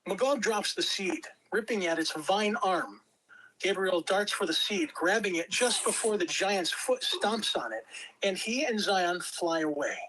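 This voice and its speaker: A woman's voice: mid-range voice, measured pace, a bit bright, poor audio. A woman reading scripted narration at a table read, telling the action in a dramatic storytelling way. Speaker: script reader.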